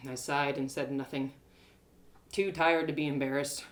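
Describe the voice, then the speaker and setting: A woman storyteller giving an expressive first-person narration of a fantasy adventure in a live reading. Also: extremely low pitch, a little slow. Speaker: storyteller, one-woman audio drama performer.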